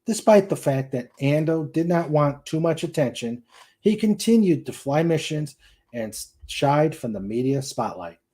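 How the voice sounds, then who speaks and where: A man reads a military science fiction novel aloud as narrator. His voice sits a little high and has a soft, mellow tone. He delivers it deliberately, as a dramatic reading with the odd small stumble. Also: sing-song.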